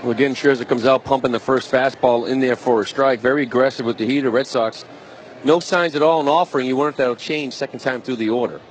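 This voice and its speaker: A man's voice: mid-range voice, quick pace. A man giving conversational live analysis as a baseball color commentator. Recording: faint outdoor ballpark noise. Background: outdoor stadium ambience.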